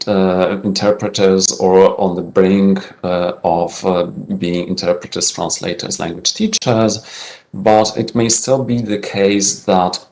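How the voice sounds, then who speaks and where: Male academic speaker, deep and unhurried, pausing often while fielding questions. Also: a little mellow. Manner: hesitant, many fillers, measured. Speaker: conference presenter answering questions.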